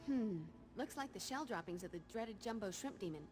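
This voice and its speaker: A woman's voice: a little high, animated, a little fast, natural voice. A young American woman playing a brave, wisecracking vampire slayer in a video game, flippant and sarcastic. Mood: dry teasing. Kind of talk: video game cutscene acting. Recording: loud music under the voice.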